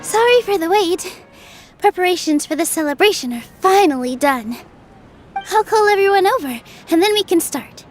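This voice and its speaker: A woman voicing a gentle, encouraging young woman in a video game, soothing and upbeat. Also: very high-pitched, expressive, normal speed, faint music behind. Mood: cheerful, reassuring. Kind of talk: animated video game voice acting.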